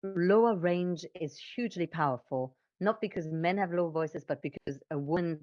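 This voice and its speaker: A woman explaining things conversationally as a voice coach, with an expressive, emphatic way of talking. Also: fairly low pitch, normal speed, no echo, dull audio.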